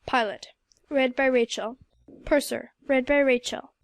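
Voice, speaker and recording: A woman with a fairly high voice reads out audiobook cast credits. The sound is dry, with hardly any room around her. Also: fairly even intonation, measured pace, dry recording. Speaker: volunteer audiobook cast reader.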